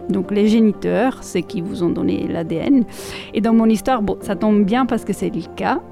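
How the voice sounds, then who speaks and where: A woman from Italy with an Italian accent, a podcast columnist reading a passage aloud and reflecting on it in a measured way. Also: medium pitch, creaky, music in the background.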